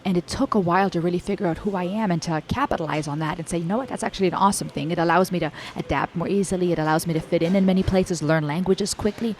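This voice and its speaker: A young woman with an American English accent speaks to her viewers in a lowish voice, reflective and earnest as she reacts to a song. A faint room hum sits beneath her. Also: racing, hardly any pauses, a little mellow. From Germany and the US, Cuban-American family. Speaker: music reaction YouTuber. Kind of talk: reaction commentary.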